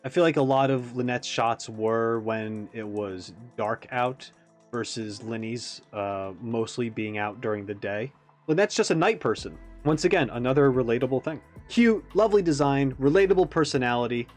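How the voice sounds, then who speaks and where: A man giving a casual, animated reaction commentary, thinking aloud as he analyzes like a game fan. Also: mid-range voice, normal speed, soft background music.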